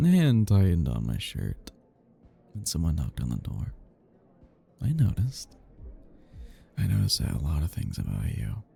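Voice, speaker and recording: A man playing a cocky, flirty, tipsy male best friend in an intimate romantic ASMR roleplay, teasing in a slow, breathy, gravelly murmur that drifts into whispers. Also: warm tone, heavily acted. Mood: intimate, earnest. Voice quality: breathy, husky, gravelly low voice.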